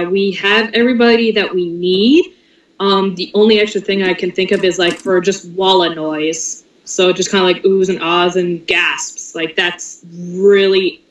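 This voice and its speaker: A woman chatting casually in an online team meeting, playful and informal, halting as she goes. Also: medium pitch. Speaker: team member running casting and script. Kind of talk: casual group meeting talk. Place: online group voice call. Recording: distant, echoey, dull voice-call audio.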